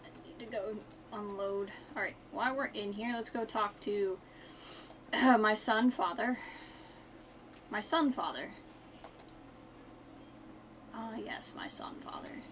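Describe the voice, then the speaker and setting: A woman's voice: medium pitch, slow pace, pauses often, a little hiss or hum. A woman giving casual live gameplay commentary as a streamer, often muttering and thinking aloud. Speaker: game streamer.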